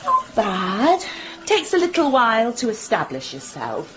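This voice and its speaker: An actress playing a falsely polite, guarded hair salon owner in a radio drama, deliberate and evasive. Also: female voice, a little high, wildly swooping pitch, lo-fi sound, music in the background. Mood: brittle politeness.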